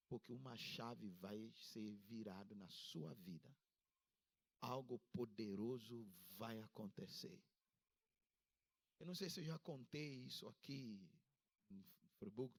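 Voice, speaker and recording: A man in his fifties from Ghana, preaching a sermon in a church service with a Ghanaian accent, with emphatic rises in pitch. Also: gravelly, slightly rough. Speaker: pastor.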